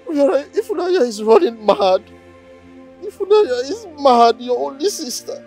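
A man's voice: very high voice, animated, measured pace, faint music behind, full character voice. A man playing a grieving, bitter friend who scolds at a grave. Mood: bitter grief. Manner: bitter, reproachful.

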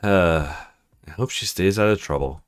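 Man playing a low, brooding young guy. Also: normal speed, clean tone, soft background music, close to an everyday voice. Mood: worried. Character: brooding young male protagonist of a visual novel.

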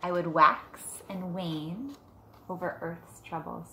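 A woman in her thirties, a teacher reading a picture book aloud gently and unhurriedly, drawing out words, at home. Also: fairly low pitch, slow pace. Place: living room at home, on a couch. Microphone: device camera a short distance away. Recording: noticeable room background noise.

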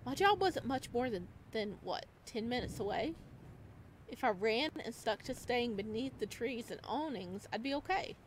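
A woman's voice: a little high, expressive, moderate pace, a little hiss or hum, low-quality recording. A woman hosting a gaming video, reading visual novel narration expressively with casual asides.

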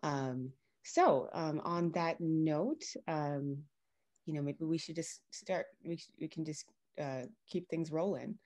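A woman's voice: sits low, slow, a little mellow. A woman, around her thirties, informally hosting an event as MC over a video call. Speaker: MC, creative writing teacher. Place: small room at home, indoors.

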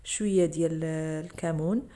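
A woman's voice: sits low, steady tone, normal speed, dark tone. A woman with a Moroccan Arabic accent, narrating a cooking tutorial over her video and explaining each step to the viewers. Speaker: cooking channel host.

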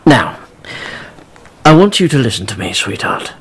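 An actor playing a flustered wealthy father in a radio drama, halting, pleading and exasperated. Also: mid-range voice, big pitch swings, creaky, a bit bright, breathy edge, exaggerated voice.

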